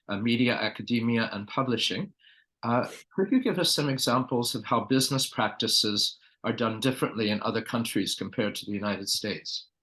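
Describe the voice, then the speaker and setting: A male interview host with a voice of ordinary middle pitch. He speaks politely and formally, reading out an introduction and then asking questions. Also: fairly bright tone, low-quality recording.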